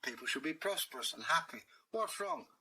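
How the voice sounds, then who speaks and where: A man's voice: a little high, huge pitch swings, a little fast, creaky, pushed and bright, husky, voice pushed up, heavily acted, rough recording. A man voicing a streetwise, confident male cat, talking in a laid-back, cheeky way.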